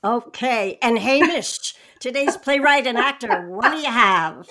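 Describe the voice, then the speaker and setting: A woman's voice: on the high side, animated, measured pace, pushed and bright. A woman hosting a radio show, presenting credits and putting questions to guests. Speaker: host.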